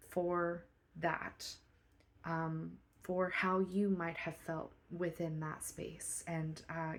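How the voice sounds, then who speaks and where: A woman as a quiet, measured bank manager. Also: fairly low pitch. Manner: quiet, measured, hesitant with fillers. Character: soft-spoken, supportive woman bank manager. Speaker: branch manager.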